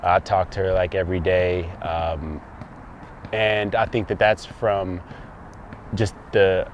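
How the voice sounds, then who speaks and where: A man's voice: low-pitched, flat delivery, slow, muffled recording, a little hiss or hum. A man of thirty from Texas, a guest talking reflectively in a casual conversation, often restarting his sentences. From Dallas, Texas. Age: 30s.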